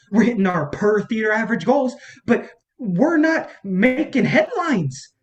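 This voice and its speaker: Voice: medium pitch, lightly acted. An actor in a staged play reading playing an exasperated, embittered film campaign strategist, profane and sarcastic in manner. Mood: bitterly sarcastic.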